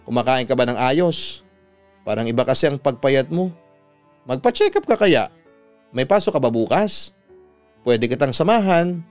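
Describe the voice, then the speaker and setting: Man acting as worried young Filipina roommate, gentle scolding, smooth clear voice, radio storytelling, music behind. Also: medium pitch, animated, normal speed, pauses often, natural voice. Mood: concerned prodding. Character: concerned, frank young woman friend and boarding-house roommate.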